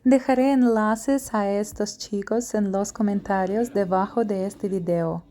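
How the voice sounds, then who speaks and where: A woman narrating her travel vlog as a scripted voice-over, reading slowly and carefully from a memorized translated script. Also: mid-range voice, steady tone, warm tone. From outside Paraguay. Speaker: travel vlogger. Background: faint background music.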